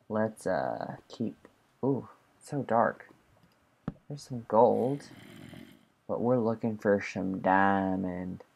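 A voice doing casual commentary while playing a video game, chatty and excitable, talking both to itself and to the viewers. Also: sits low, creaky, slightly rough. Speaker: gameplay commentator. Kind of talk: casual let's play commentary.

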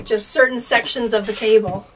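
A young woman chatting excitedly through a DIY project inside an RV, full of fillers, recorded on a camera mic across the table. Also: a little high, normal speed, recorded from a distance, a little hiss or hum, low-quality recording. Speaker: RV DIY vlogger. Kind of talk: casual DIY narration.

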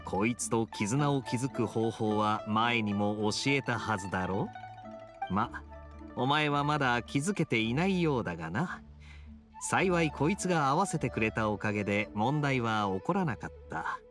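An actor voicing a suave, teasing young knight in a video game, with a smooth, playful, unhurried delivery. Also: male voice, medium pitch, animated, a bit bright, soft background music, natural voice. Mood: smug, playful. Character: suave, teasing young male knight with sly gambler's wit. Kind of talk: video game dialogue acting.

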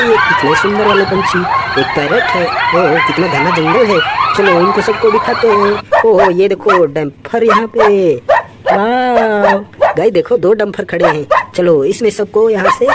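A host narrating toy play for children in a playful, exclamatory way, full of repeated little cries of wonder. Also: mid-range voice. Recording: very noisy background.

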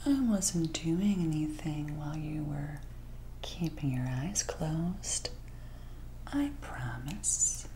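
A woman's voice: low-pitched, noisy background. A woman in her thirties playing a sinister, teasing doctor in a whispered, coaxing ASMR roleplay, close to the mic. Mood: teasing, sly. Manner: slow, hushed, coaxing. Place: dim living room at night.

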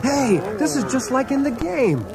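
A man's voice: very high-pitched, big pitch swings, normal speed, music in the background, heavily acted. An actor playing a restless, earnest young man frustrated with his life, in a children's storybook recording. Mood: startled. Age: young adult. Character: restless, frustrated young trailer-park dreamer. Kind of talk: children's read-along storybook acting.

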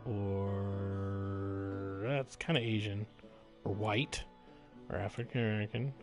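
A man giving casual live gameplay commentary, musing aloud in a jokey, drawn-out way. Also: fairly low pitch, little pitch movement, faint music behind. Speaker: game streamer.

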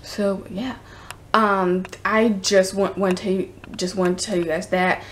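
A young woman with an American accent chatting casually to the camera in an informal, halting, rambling way. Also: mid-range voice, little pitch movement, fairly bright tone. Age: teens to young adult. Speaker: vlogger. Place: indoors, home room. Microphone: camera at arm's length. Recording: noisy handheld camera recording with room ambience.

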